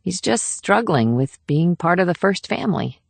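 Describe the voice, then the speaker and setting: A woman acts a female government agent in a thriller audiobook, respectful and brief. The voice sits a little low and sounds very dry. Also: very animated, normal speed, vocal fry, dry recording, full character voice. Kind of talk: scripted audiobook dialogue.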